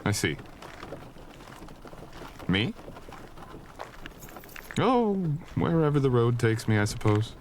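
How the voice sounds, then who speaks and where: A man playing a mysterious, courteous wandering traveler in a soft-spoken romantic fantasy audio roleplay, with old-fashioned, teasing phrasing. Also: medium pitch, big pitch swings, slow pace, pauses often, slight background noise. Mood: courteous, easygoing.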